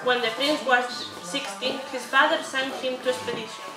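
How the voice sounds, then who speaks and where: A woman's voice: high-pitched, fairly even intonation, moderate pace. A young woman reading scripted narration aloud for a puppet show in a room. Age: teenager or young adult. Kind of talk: scripted story narration, read from paper. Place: indoor room beside a puppet theatre. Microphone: far camera. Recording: echoey room, far camera, music behind.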